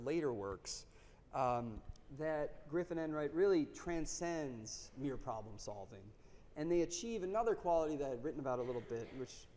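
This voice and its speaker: A man introduces a guest lecturer from a podium in an auditorium. His talk is halting and full of fillers and restarts. The sound carries a long hall reverb. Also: fairly high pitch, a little mellow.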